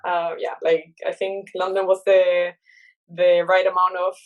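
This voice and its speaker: A woman's voice: lowish voice, flat delivery, a little slow, highs cut off. A woman in her thirties from Chile with a Chilean Spanish accent, speaking English as a second language as an interview guest, thoughtful and full of fillers and restarts. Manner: thoughtful, many fillers and restarts, laughs often. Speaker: guest artist. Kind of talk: casual interview chat.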